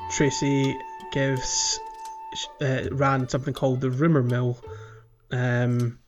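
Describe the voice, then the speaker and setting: A man's voice: medium pitch, little pitch movement, takes it slowly, highs cut off, over music. A man co-hosting a podcast, chatting casually about a video game, rambling with lots of fillers and little laughs. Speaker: podcast co-host.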